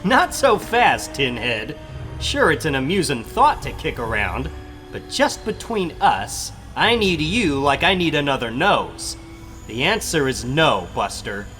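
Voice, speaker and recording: A man's voice: a little high, very animated, a little slow, bright, forceful tone, soft background music, heavily acted. A man voicing a cocky, wisecracking young superhero in a comic book dramatic reading, boyish, breezy and slangy. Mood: cocky, mocking.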